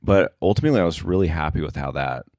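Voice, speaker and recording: A man speaking casually as an interview guest about his work, thinking aloud in a halting way. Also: a bass voice, darkish tone, no room sound, clean studio sound. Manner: halting, thinking aloud, frequent filler likes. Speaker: sound editor, interview guest.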